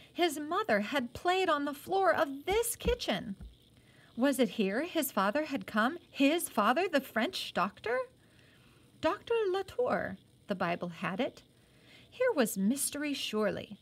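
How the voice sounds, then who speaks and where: A woman reading a novel aloud as a teacher would, with short explanatory asides. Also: high voice.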